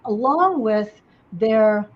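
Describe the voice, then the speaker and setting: Host: a woman with a slightly high voice, asking long winding questions over dull lo-fi video call audio. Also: lively intonation, drawn-out words, slight background noise. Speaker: host interviewer. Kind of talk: casual interview.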